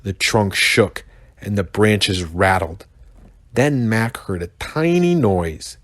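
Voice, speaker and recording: American guy reading a kids book out loud, slow and really expressive, dry close sound. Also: fairly low pitch, no room sound. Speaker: pastor reading to children. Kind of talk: expressive children's storybook narration.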